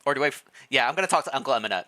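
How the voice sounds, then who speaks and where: Lively, bright-voiced streamer reading aloud. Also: medium pitch, rapid-fire. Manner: playful, expressive reading. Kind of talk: livestream read-aloud with casual chat.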